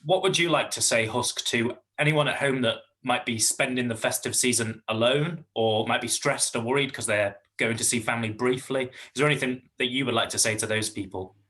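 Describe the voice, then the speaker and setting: A man from Wales hosting a community podcast, asking questions with halting fillers. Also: medium pitch, sharp tone. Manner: hesitant, restarts and fillers. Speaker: podcast host. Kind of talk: interview questions.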